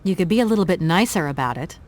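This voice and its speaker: An actress playing a polite, persistent young traveller in a video game, courteous and well-spoken. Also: female voice, lowish voice, lively intonation, fast, darkish tone, close to an everyday voice. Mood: polite, slightly put out.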